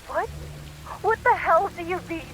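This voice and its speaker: A woman's voice: very high voice, unhurried, a bit bright, faint music behind, poor audio. A woman playing a frightened, pleading sister. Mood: bitter. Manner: pleading, tearful and accusing. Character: frightened young woman alone, grieving sister. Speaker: caller. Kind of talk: game voice acting.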